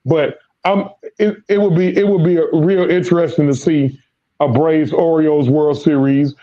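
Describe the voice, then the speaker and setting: A man's voice: a little high, steady tone, normal speed, dark tone, highs cut off. A man who is losing his voice, hosting casual sports talk and rambling with lots of repeated words. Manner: rambling, repeats words, Southern American slang. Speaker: sports podcast host.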